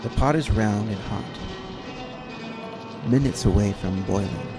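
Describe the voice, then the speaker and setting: Guy with a lowish voice doing slow theatrical radio adventure narration, guitar music behind him. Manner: theatrical, grandiose delivery with dramatic pauses. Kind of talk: dramatic old-time radio adventure narration. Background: easy listening guitar music.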